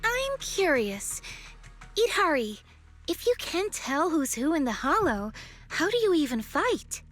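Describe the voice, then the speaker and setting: A woman's voice: high-pitched, big pitch swings, measured pace, fairly bright tone, faint music behind, heavily acted. A woman playing a curious, playful young woman in a video game, with a teacherly, theatrical delivery.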